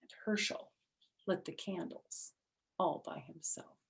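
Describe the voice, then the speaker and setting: A woman narrating a children's story aloud in an expressive, dramatic and deliberate way. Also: lowish voice, gravelly. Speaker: storyteller reading a picture book aloud.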